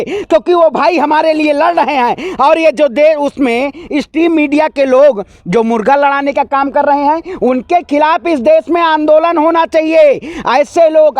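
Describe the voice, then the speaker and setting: A man's voice: very high-pitched, no echo. A man in a heated street political rant, combative and emphatic, firing rhetorical questions as he is interviewed. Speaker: political speaker being interviewed.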